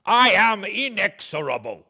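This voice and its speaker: A man voicing an anguished, defiant gentleman in a classic novel reading, shouting at his enemy in impassioned declamation. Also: high voice, very animated, a little slow, slightly rough, pitched above the performer's own voice, full character voice. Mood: furious, defiant.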